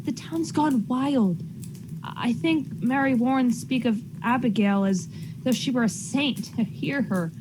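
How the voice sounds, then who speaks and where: A woman's voice: a little high, slow pace, background noise. A woman playing an anxious, insistent Puritan farmer's wife in a radio drama, earnest and pressing in old-fashioned phrasing. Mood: troubled. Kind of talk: period radio drama acting.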